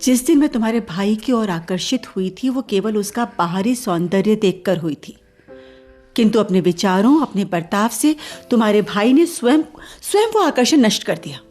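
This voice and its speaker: A woman's voice: mid-range voice, animated, normal speed, darkish tone, faint music behind, close to an everyday voice. An actress playing a proud, principled young woman in a radio play, earnest and formal, firm in her opinions. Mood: earnest, disappointed. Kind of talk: Hindi radio play acting.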